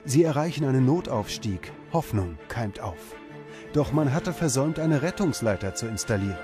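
A man reading scripted documentary narration in a measured, serious way. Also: mid-range voice, lively intonation. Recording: music under the narration. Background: background music.

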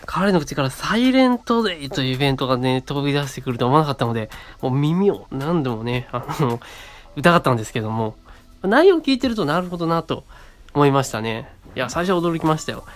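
Man chatting in short phrases over music. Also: medium pitch. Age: around 30. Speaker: radio show co-host. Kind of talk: casual radio monologue.

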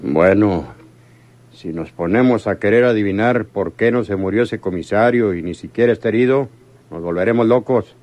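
A cold crime boss acted by a man with a low voice and a Mexican accent, in an old radio serial. He gives orders deliberately and with full authority. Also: a little mellow, natural voice. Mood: grave, scheming.

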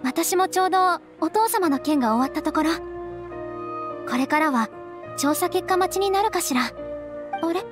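An actress playing a gentle, earnest young noble lady in a game, caring and girlish, with polite, emotive feminine speech. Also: extremely high pitch, lively intonation, normal speed, long pauses. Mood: concerned. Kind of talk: dramatic anime-style game dialogue. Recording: clear music behind the voice. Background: background game music.

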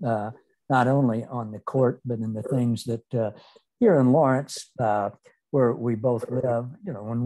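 An older American man hosting a casual interview, speaking haltingly and appreciatively into a desk microphone. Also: mid-range voice, mellow, low-quality recording. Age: 60s or older. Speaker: interview host. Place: small indoor room on a video call. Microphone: desk microphone with headset.

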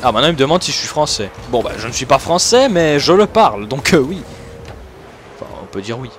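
Young man, excitable gaming commentary. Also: medium pitch, brisk, slight background noise. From Switzerland. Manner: excitable, laughs often, shouts at plays. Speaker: gaming YouTuber. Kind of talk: live gameplay commentary.

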